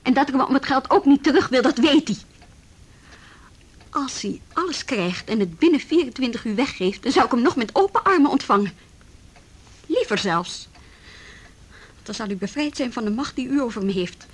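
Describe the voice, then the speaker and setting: A woman's voice: high voice, animated, normal speed, long pauses, slightly rough. An actress playing a proud, defiant young policewoman in a Dutch radio play, indignant and pointed yet formally polite.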